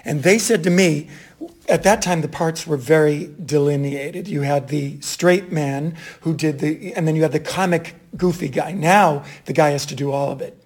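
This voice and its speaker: A man in his sixties from Boston, with a Boston accent, telling stories from his stage career in a chatty, animated way. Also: a little high, moderate pace. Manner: chatty, animated anecdotes with fillers. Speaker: stage actor and director. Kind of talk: casual interview storytelling.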